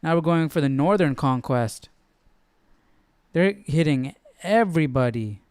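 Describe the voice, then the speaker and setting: A man hosting a Bible study stream in casual, slangy chat, halting as he thinks aloud. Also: fairly high pitch, expressive, a little mellow, clear, resonant tone, dry recording. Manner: informal, slangy, halting as he works things out.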